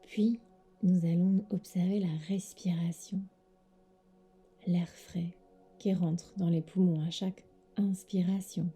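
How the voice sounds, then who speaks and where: Very mellow, breathy woman guiding meditation. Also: fairly low pitch, steady tone, faint music behind. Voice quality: soft, breathy. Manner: soothing, gentle instructions with pauses. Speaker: yoga nidra teacher. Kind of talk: guided sleep meditation.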